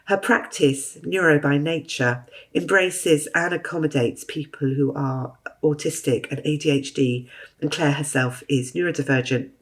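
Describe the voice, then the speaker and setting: A woman hosting a podcast interview, a little hoarse from a cold, encouraging her guest. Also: low-pitched, moderate pace. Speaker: podcast host.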